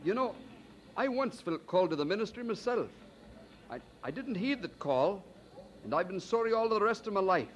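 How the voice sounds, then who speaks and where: An actor playing a kindly, persuasive older cousin who gives thoughtful advice in old-time radio drama style. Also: male voice, high-pitched, lively intonation, highs cut off. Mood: earnest advising. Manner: encouraging, gently probing, thoughtful pauses. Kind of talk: mid-century radio drama acting.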